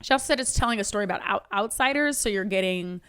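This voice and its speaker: A woman's voice: medium pitch, expressive, quick pace, fairly bright tone, clear, resonant tone. Chatty woman reviewing a movie. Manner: chatty, hesitant with many ums. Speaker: podcast co-host. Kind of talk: casual movie review chat.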